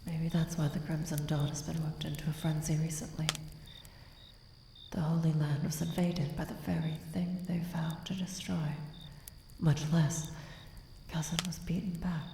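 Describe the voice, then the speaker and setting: Woman as an anguished vampire in echoing night. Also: low voice. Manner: breathy, halting, often dropping into whispers. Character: centuries-old vampire woman, anguished, devoted and vengeful. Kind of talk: dramatic ASMR fantasy roleplay. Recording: echoing reverb with noisy cricket ambience. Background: night ambience with crickets and sound effects.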